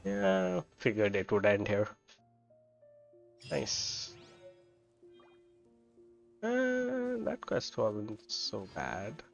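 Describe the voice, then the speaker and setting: A man giving casual, low-key live gameplay commentary as a streamer, rambling along as he talks. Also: wildly swooping pitch, very slow, vocal fry, long pauses, highs cut off. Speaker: game streamer. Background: game music.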